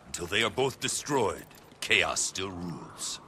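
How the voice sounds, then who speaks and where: An actor playing a proud, ambitious warlord of ancient China in a game cutscene, speaking gravely in measured, oratorical phrases. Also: male voice, low voice, sing-song, background noise, exaggerated voice. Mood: pensive, stern. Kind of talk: dramatic video game cutscene acting.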